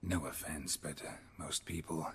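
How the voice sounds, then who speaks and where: A gruff fantasy witcher from a video game. His voice is deep and extremely husky, almost gravel. He speaks in short, dry, understated lines with long reverb around them. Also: steady tone, normal speed, a bit bright, heavily acted. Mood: calm, dry. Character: gruff, laconic male witcher with cat eyes. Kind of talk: video game dialogue acting.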